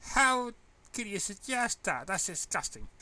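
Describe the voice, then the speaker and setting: A man's voice: high-pitched, very animated, measured pace, bright, forceful tone, no room sound, pitched above the performer's own voice, full character voice. A man voicing a prim, easily embarrassed, studious teenage girl in a story, indignant and defensive. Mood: disgusted. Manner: indignant, defensive, clipped protests.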